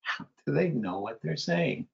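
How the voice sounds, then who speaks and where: A middle-aged American man speaks in a somewhat high voice, chatting casually as he answers questions. He is an author appearing as a guest on a video call, so the sound is a little dull and lo-fi. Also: animated, unhurried, gravelly. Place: indoor room on a video call. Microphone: computer video call.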